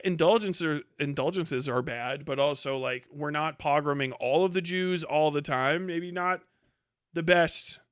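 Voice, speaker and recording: A man's voice: fairly high pitch, fairly bright tone, clear, resonant tone, no room sound, clean studio sound. A man doing casual, off-the-cuff live commentary on the news in a blunt, sardonic, rambling and argumentative way, with some swearing.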